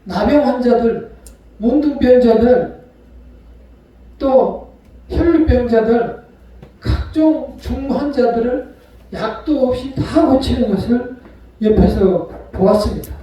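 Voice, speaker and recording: A male pastor preaching a sermon, retelling a story with acted-out voices and sharp swings between loud and soft. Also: very high voice, slow pace, long pauses. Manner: storytelling, acts out quoted voices. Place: church sanctuary. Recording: echoey hall from far off.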